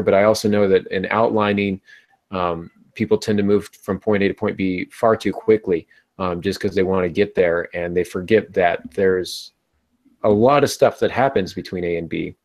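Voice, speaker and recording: Middle aged guy with a low voice, chatting informally on a podcast video call. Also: steady tone, normal speed, a little mellow. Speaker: podcast host. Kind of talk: casual panel discussion on writing. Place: small home room, indoors. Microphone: earbud headset on a video call.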